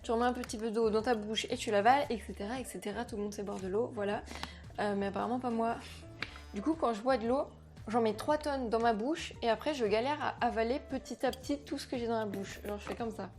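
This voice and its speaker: A young woman, a French native speaker, chatting to camera in a slangy, animated way, talking about herself as a vlogger. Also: on the high side, quick pace, faint music behind. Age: young adult. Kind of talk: casual chat to camera.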